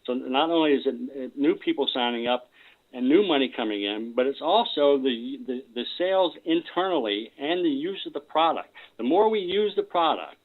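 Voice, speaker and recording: A man's voice: mid-range voice, lively intonation. Male business presenter on a conference call, narrow phone sound, explanatory and unscripted, restarting phrases as he goes. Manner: halting, repeats words when starting sentences. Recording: tinny phone-line conference call audio.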